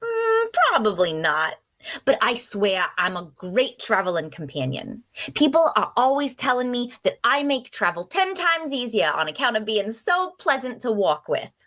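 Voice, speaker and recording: Chatty, teasing young female adventurer played by a woman, very animated delivery, folksy and persistent, for fantasy audio drama. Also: fairly high pitch, moderate pace. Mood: teasing, pleading. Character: chatty, nosy, cheerful young female traveler. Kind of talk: dark fantasy audio drama table read.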